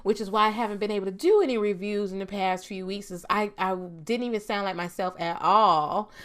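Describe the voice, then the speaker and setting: A woman reviewing a TV episode in a casual, chatty vlog, her voice a bit raspy as she gets over a cold, laughing often. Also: mid-range voice, fairly quick, a bit bright. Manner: chatty, laughs often, trails off with "I'm just saying". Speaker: TV review vlogger.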